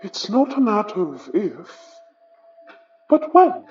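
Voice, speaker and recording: An actor playing the summoned remnant of an English gentleman, wry, wistful and formal, with a British accent, in an audio horror drama. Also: medium pitch, wildly swooping pitch, far from the mic, dull audio, faint music behind. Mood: intrigued, grave. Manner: measured, formal, musing, ornate phrasing.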